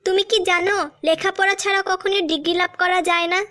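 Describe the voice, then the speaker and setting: A woman's voice: very high voice, steady tone, a little fast, fairly bright tone, faint music behind. A woman voicing a female schoolteacher quizzing her pupil in a cartoon joke sketch. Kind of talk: Bangla cartoon joke dialogue.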